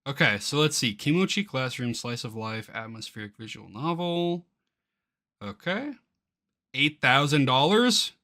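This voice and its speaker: A man giving casual reaction commentary as he reads aloud from a web page, excitable and quick to exclaim in disbelief. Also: medium pitch. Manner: excitable, easily incredulous, exclaiming. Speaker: YouTuber reacting to a game's crowdfunding page.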